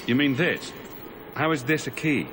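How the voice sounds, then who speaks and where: A man's voice: medium pitch, lively intonation, moderate pace, natural voice. An actor playing a sardonic male mage hero in a video game, dry and direct. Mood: questioning. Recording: noisy ambience behind the voice.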